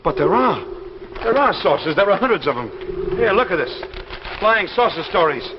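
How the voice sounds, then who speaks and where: A man's voice: high voice, very animated, moderate pace. An American man playing an earnest, obsessed grounded Army pilot in 1950s television drama style, insistent and emphatic. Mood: insistent, desperate. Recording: muffled, noisy, echoey 1950s broadcast recording.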